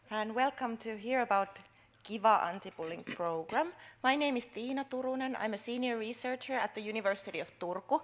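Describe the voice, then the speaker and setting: Researcher, woman, conference workshop talk, friendly and full of fillers. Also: mid-range voice. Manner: friendly, loose, with frequent fillers and restarts. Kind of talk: workshop presentation. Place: conference room.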